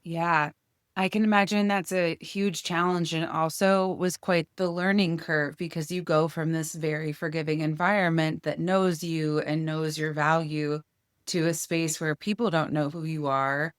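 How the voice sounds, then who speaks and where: A woman's voice: deep voice, fairly even intonation, measured pace, smooth, dry recording, clean studio sound. A woman hosting an interview, asking engaged, expansive questions. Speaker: host. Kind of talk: interview conversation.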